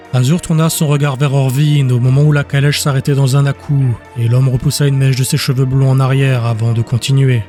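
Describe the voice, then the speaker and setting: A man's voice: mid-range voice, a little mellow. A man narrating a scripted fantasy audiobook in a dramatic storytelling style. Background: music.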